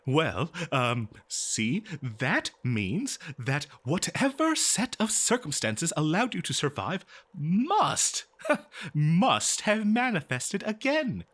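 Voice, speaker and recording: A man's voice: fairly high pitch, sing-song, slow, a bit bright, heavily acted. A man playing an eager, kindly archaeologist in a fantasy audio roleplay, speaking in a hesitant, stammering, scholarly way straight to the listener. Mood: hopeful, excited. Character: eager, kindly male archaeologist befriending a lonely monster. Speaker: audio drama performer. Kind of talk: scripted fantasy audio roleplay, one-sided dialogue to listener.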